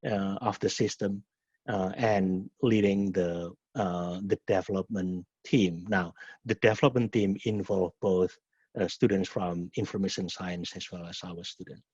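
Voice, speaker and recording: A middle-aged man from Indonesia with an Indonesian accent and a husky voice, a professor answering questions at a webinar in a halting way, often repeating words and restarting. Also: low voice, steady tone, darkish tone. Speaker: professor and program director. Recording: muffled, echoey webinar audio.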